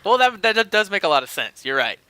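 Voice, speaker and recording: Animated man with a high, bright voice. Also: fast, smooth, dry recording. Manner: animated, chuckling through stories. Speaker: podcast co-host. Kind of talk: casual comedic storytelling chat.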